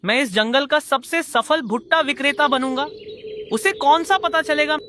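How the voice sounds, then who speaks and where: Man voicing a bright, high-pitched scheming crow. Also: normal speed, slight background noise. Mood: scheming, boastful. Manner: boastful, declamatory. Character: jealous scheming male crow villain, boastful. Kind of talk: Hindi kids' cartoon dialogue.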